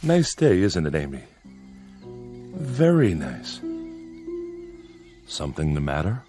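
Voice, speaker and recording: An actor playing an uneasy, placating man in a radio drama, halting and carefully pleasant. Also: low voice, huge pitch swings, warm tone, soft background music, full character voice.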